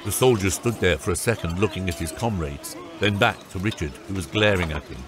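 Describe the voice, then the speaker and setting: A man's voice: deep voice, fairly quick, over music. A man narrating a fantasy audiobook from a script as its storyteller.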